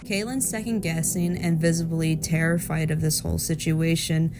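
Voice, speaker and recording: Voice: medium pitch, little pitch movement, moderate pace, background noise. A woman giving an informal, explanatory commentary in the style of a horror film breakdown. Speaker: video commentator. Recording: loud music under the voice.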